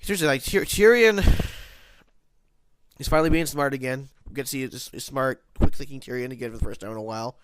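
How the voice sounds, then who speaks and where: A man's voice: mid-range voice, fast, fairly bright tone, smooth, dry recording. A man with a nasal voice, congested from hay fever, giving a casual, rambling spoken review of a TV episode. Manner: rambling, many restarts and self-corrections.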